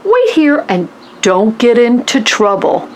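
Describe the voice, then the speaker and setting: A woman voicing a kindly, gently firm man in a children's storybook, over some background noise. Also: fairly high pitch, very animated, slow pace. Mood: gently instructing.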